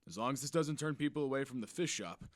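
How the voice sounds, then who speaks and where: A man's voice: medium pitch, lively intonation, fast talker, lightly acted. A man playing a weary, caring middle-aged fisherman father, resigned and understated, in an audio drama. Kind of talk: fiction podcast audio drama acting.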